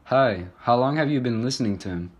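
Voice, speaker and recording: A man's voice: medium pitch, expressive, brisk, slightly rough, highs cut off. A man playing a courteous radio talk show host in a scripted 1920s skit, with polite delivery.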